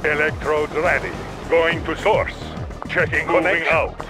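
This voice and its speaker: An actor playing an eager, obedient Soviet trooper, giving terse military replies in a video game. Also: male voice, fairly high pitch, fairly even intonation, normal speed, over music, lightly acted. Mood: dutiful, eager. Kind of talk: clipped video game unit responses.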